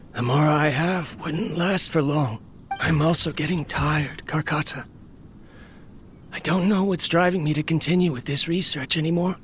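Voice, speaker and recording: A weary, lonely inventor talks to his machine in a worn, melancholy tone, pitched a touch high for a man, as an actor plays him. A faint ambient hum sits behind the game recording. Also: a bit bright, lightly acted. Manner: tired, melancholy monologue. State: ill, coughing, weakening. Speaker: game character.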